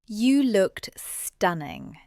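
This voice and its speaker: A woman's voice: mid-range voice, very animated, very slow, darkish tone, dry recording, clean studio sound, heavily acted. A woman playing a kind, observant Victorian companion in an audio drama, composed and supportive. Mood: warmly supportive.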